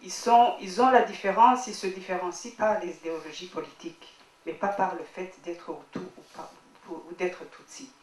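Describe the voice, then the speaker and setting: A woman's voice: fairly low pitch, fairly even intonation, moderate pace, a little mellow. A woman from Rwanda speaking from the audience after a film screening, earnest and repeating herself to make her point. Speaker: audience member. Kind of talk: public Q&A remarks. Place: screening hall. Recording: roomy hall, distant mic.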